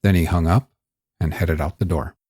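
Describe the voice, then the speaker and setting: A man reading scripted crime fiction in a measured, audiobook-style storytelling delivery. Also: sits low, steady tone. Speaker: narrator of a serialized crime story. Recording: music bed under the voice. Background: music.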